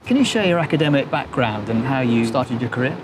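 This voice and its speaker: A man's voice: mid-range voice, animated, fast talker, faint music behind. A man interviewing a guest, asking conversational follow-up questions. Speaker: interviewer, board member.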